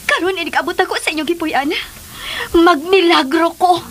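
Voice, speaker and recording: An actress playing a furious, demanding jilted mistress with a shrill voice in a melodramatic radio drama. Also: female voice, moderate pace. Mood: seething, wounded.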